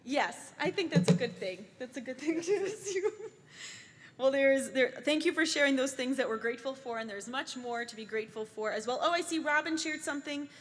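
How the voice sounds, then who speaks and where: A woman's voice: high voice, lively intonation, a little fast. A woman leading a live prayer service in a synagogue sanctuary, speaking in an upbeat, encouraging way into a handheld mic. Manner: warm, upbeat, encouraging congregation chatter. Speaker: service leader. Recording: long hall reverb.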